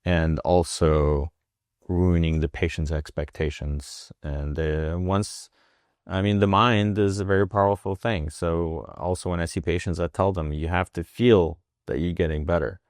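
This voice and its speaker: A man in his forties with a very low voice. He speaks as an interview guest, with halting phrasing, fillers and restarts. He is recorded dry and close, with no room sound. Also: steady tone, a little mellow, no room sound. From Russia. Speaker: wound care physician.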